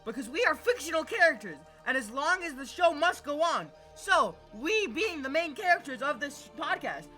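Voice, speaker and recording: Excitable female comic podcast character, played by a woman: dramatic rambler, bright high voice, lively intonation. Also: normal speed, hardly any pauses, faint music behind, full character voice, rough recording. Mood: manic triumph. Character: excitable, scatterbrained female podcast character ranting about immortality.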